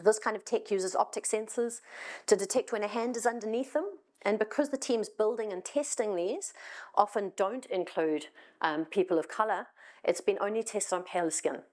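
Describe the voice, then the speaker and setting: A woman's voice: medium pitch, moderate pace, darkish tone. South African woman giving a conference talk. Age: middle-aged. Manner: conversational, wry asides, frequent ums. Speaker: conference speaker. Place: stage in a hall.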